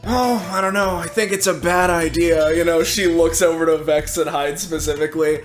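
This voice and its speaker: A woman's voice: fairly low pitch, fairly even intonation, moderate pace, soft background music. A woman roleplaying casually as a tabletop game player, with a laid-back, slightly hesitant way of talking.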